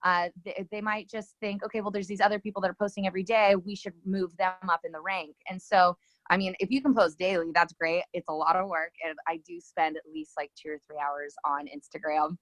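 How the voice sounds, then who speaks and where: A woman's voice: fairly low pitch, steady tone, fairly quick, dry recording, rough recording. A woman guest giving casual social media advice, rambling with many ums and restarts.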